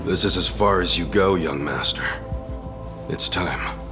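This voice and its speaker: Scrappy street hero in a game, deep voice, earnest, talks plain. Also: male voice, unhurried, music in the background. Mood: firm, earnest. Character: plucky, loyal, plain-spoken streetwise hero, adult man. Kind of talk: English video game voice acting.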